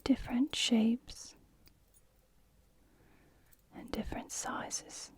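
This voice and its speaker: A woman speaking in a soft, breathy whisper, gently role-playing an attentive shop assistant for ASMR. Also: fairly high pitch, steady tone. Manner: gentle, unhurried, attentive to the customer.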